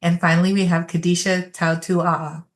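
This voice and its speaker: A woman's voice: fairly low pitch, flat delivery, moderate pace. A woman moderating a virtual panel, graciously thanking and introducing each speaker.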